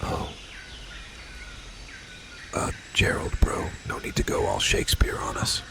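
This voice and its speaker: A man's voice: measured pace, lightly acted. A man voicing Chad, a cocky young male gym bro with slangy, boastful talk and a whispery voice.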